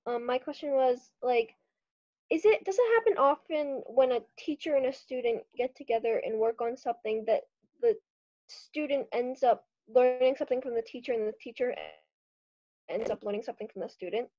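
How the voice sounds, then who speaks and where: A woman's voice: on the high side, normal speed, mellow, dry recording. A woman asking a question on a video call, hesitant and searching for words. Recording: dull dry video call audio.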